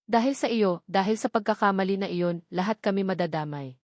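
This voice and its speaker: A woman's voice: medium pitch, fairly quick, dry recording, studio quality, close to an everyday voice. A woman narrator voicing a cold, haughty, threatening older mother from a wealthy family, with an icy, commanding manner in a dramatic story. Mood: angry, threatening.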